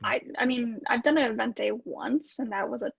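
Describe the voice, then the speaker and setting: A woman's voice: on the high side, a little fast, gravelly, husky, dry recording. A woman in casual, blunt, informal banter, on a slightly muffled recording. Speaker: improv cast member.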